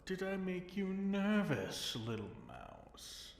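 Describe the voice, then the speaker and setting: A man's voice: high-pitched, very animated, takes it slowly, exaggerated voice. A man performs a captive, half-mad dragon king in an intimate fantasy audio roleplay. He speaks very unhurriedly in a theatrical, seductive purr, teasing and possessive, slipping into murmured asides. The sound is roomy and echoing, with a faint noise underneath. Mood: obsessed, tender.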